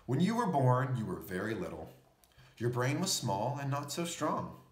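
A man's voice: medium pitch, big pitch swings, moderate pace, reverberant. A man reading a children's picture book aloud, explaining things as he goes. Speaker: storybook reader.

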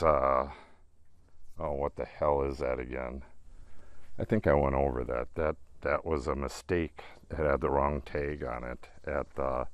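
Man, very low voice, unhurried, rambling garden walkthrough, dry close sound. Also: no echo. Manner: rambling, thinks aloud, swears mildly. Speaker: gardening channel host. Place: outdoors in a garden.

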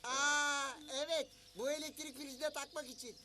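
A man's voice: extremely high pitch, fairly even intonation, moderate pace, pushed and bright, slight background noise, heavily acted. A man voicing a young, playful but cautious animal in a children's cartoon, in a hesitant, childlike way. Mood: curious.

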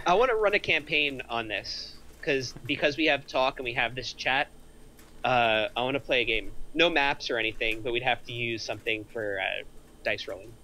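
A man's voice: mid-range voice, moderate pace, pushed and bright, rough recording. A man talking casually in an online group voice chat. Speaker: script reader, hobby gamer. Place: online voice call. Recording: faint noise, online voice chat.

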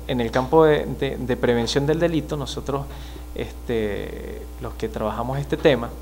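A man in his thirties from Venezuela, with a Venezuelan accent, speaking as a criminologist guest in a studio interview, measured and explanatory with frequent hesitations. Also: medium pitch, slight background noise. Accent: Venezuelan Spanish. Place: small TV studio. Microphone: mic on desk stand.

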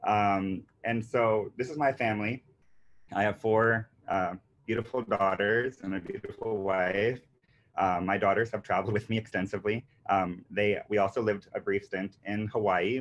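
A man's voice: on the low side, steady tone, normal speed, a little hiss or hum. A man in his thirties who grew up in Panama, presenting a marketing webinar earnestly, with many fillers and restarts. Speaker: marketing agency founder presenting a workshop. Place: indoors on a video call with a virtual beach background. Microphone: computer mic on a video call. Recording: dull lo-fi video call audio.

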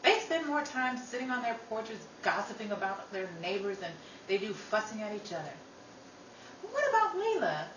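A woman playing an outspoken, quick-tempered young working sister in an old-time radio style drama, brisk and dramatic. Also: mid-range voice, very animated, fairly bright tone. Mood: wry, chatty. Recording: roomy, distant mic, noisy.

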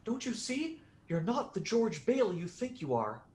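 Whimsical old angel, swooping high voice. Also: male voice, very animated, normal speed, darkish tone, roomy sound. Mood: earnest, delighted. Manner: earnest, theatrical, fussily polite. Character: gentle, whimsical, very old male angel, eager and innocent. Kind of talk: radio drama acting.

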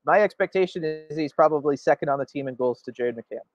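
A man's voice: a little high, quick pace, no echo, dull audio. A man co-hosting a sports show, giving emphatic opinionated commentary and often checking for agreement. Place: indoor room, video call.

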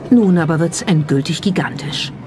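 Documentary narrator over clearly audible music. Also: mid-range voice, moderate pace. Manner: rhetorical, sceptical questioning.

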